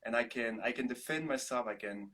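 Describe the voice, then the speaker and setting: A young man from Namibia whose first language is Afrikaans, chatting in a relaxed, rambling way as a guest on a video-call interview. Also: medium pitch, brisk. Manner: relaxed, rambling with restarts and laughs. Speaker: guest, singer-songwriter.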